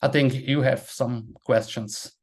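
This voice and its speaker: A man, a panel guest speaking English as an in a halting way, restarting and repeating words. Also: medium pitch, steady tone. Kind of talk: casual webinar talk.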